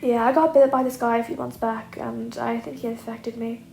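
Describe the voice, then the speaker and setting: Teen boy student played by a woman, sarcastic and nonchalant, high voice, roomy sound, fan story reading. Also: moderate pace, creaky. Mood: weary, nonchalant. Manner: flippant, deadpan excuses. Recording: echoey room, audible noise.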